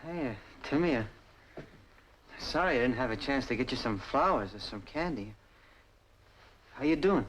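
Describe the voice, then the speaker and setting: An actor as a shifty crook with a mid-pitched voice, in an old crime drama. He coaxes and hedges like a smooth talker under pressure. There is faint noise on the recording. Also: animated, normal speed, pauses often, a bit bright, lightly acted, rough recording. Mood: uneasy. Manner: coaxing, hesitant, pleading under pressure. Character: shifty, smooth-talking crook angling for an alibi.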